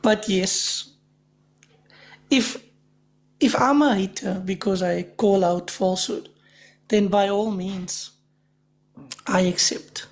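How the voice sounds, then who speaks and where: A woman with a mid-range voice, speaking very slowly and deliberately. She is emphatic and pointed in casual live debate. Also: medium pitch. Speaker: livestream host. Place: livestream call.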